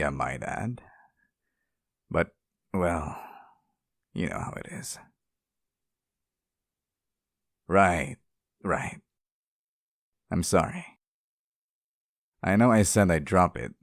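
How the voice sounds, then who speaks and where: A man voicing a lonely, sweet and possessively devoted sealed demon in an intimate ASMR roleplay, speaking softly, with tender, hesitant pleading. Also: low voice, animated, slow, creaky, long pauses, no room sound, clean studio sound, full character voice. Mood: wistful, sweet, then apologetic, hesitant. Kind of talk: ASMR roleplay, intimate scripted monologue to the listener.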